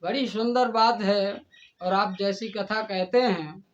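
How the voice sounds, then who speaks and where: A man's voice: high voice, steady tone, takes it slowly, dull audio, slight background noise. A man delivering an indignant, oratorical admonishment, scolding someone by direct address. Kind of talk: admonishing monologue addressed to a rival and the community.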